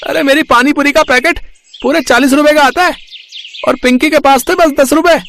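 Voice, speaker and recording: A man voicing a high, gravelly scheming seller. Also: steady tone, fairly quick, a little hiss or hum, heavily acted. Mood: mocking. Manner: pushy, loud and scolding. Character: boastful scheming male village panipuri seller. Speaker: cartoon voice actor. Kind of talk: animated storybook acting.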